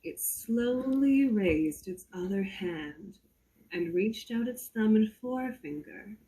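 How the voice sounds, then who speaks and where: A woman reads a horror story aloud theatrically, drawing out suspense with deliberate pauses. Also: mid-range voice, animated, dark tone, rough recording. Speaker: performer reading a story aloud. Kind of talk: slow dramatic reading of a horror story.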